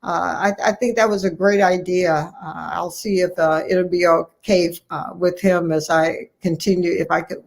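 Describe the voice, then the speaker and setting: A middle-aged woman speaking as an interview guest, addressing colleagues encouragingly in a halting, deliberate way, repeating phrases for emphasis. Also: lowish voice, gravelly, darkish tone. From United States, Ohio. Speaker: guest, family physician and academy president-elect.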